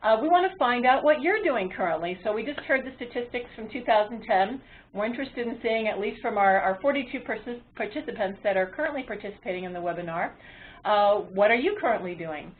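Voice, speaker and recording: A woman presenting a webinar as its moderator, explaining to an online audience in a halting delivery with frequent ums and uhs. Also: on the high side, animated, slight background noise. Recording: muffled, phone-like webinar audio line.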